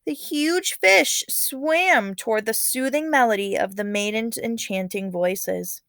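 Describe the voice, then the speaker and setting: A woman's voice: a little high, a little slow, fairly bright tone, no room sound, clean studio sound. A woman reading a story aloud as a teacher, expressively, with casual, encouraging comments along the way.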